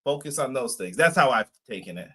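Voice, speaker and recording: A man's voice: medium pitch, lively intonation, brisk, sharp tone, breathy edge. A man co-hosting a live wrestling podcast, chatting casually, reading viewer comments aloud and laughing often. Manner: laid-back, reads chat comments aloud, laughs often. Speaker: podcast co-host. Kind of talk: casual live-stream chat.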